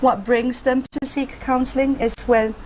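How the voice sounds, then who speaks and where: A woman from Malaysia, a psychotherapist, speaking as an interview guest in a calm, measured and frank way about her work. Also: high-pitched, a little hiss or hum.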